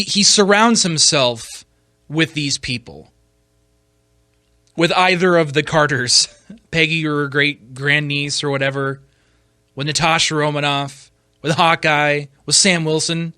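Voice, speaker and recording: A man with a fairly high, sharp-edged voice recorded close and dry. He speaks as a podcast host giving his opinions on films. His delivery is emphatic and combative, with halting repeats and pointed rhetorical questions. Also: dry recording.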